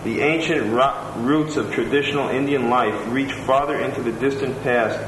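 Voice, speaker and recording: A man's voice: medium pitch, moderate pace. A man reading letters and reviews aloud, plain and attentive, adding his own asides. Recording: roomy room with clear background noise.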